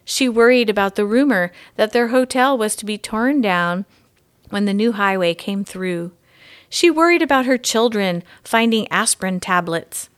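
A woman's voice: mid-range voice, moderate pace. A woman reading to children as a guest audiobook narrator. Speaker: guest story reader.

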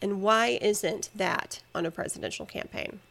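Passionate woman podcaster with a gravelly edge. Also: medium pitch, normal speed, a bit bright. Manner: passionate, ranting, emphatic lists. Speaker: podcast host. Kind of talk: solo podcast monologue.

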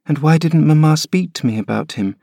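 A man's voice: on the high side, brisk, vocal fry, warm tone, dry recording, clean studio sound, voice pushed up. A man reading aloud, voicing a fretful, sickly young boy who asks plaintive questions.

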